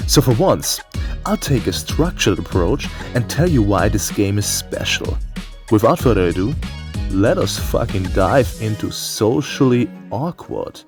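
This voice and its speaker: Man doing animated, sweary game review over music. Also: on the low side, unhurried. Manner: theatrical, profane, playful. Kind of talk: comedic scripted review commentary. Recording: music behind the voice.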